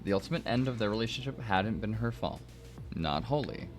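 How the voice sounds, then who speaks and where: Low-voiced man reading dark fiction aloud. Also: animated, fairly quick, over music. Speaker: narrator and host of a story stream. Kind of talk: dramatic reading of prose fiction.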